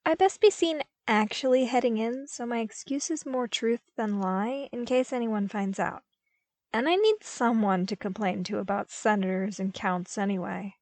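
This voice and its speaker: A woman voicing an earnest young man in an audiobook, animated and emphatic, easily baffled. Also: a little high, moderate pace, no echo, natural voice. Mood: decisive. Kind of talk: audiobook character acting.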